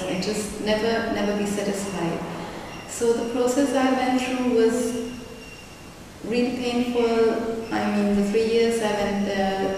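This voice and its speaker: A young woman, an artist talking reflectively in an interview, often pausing and restarting as she searches for words. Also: mid-range voice. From Germany. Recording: echoey room, mic far off, background noise.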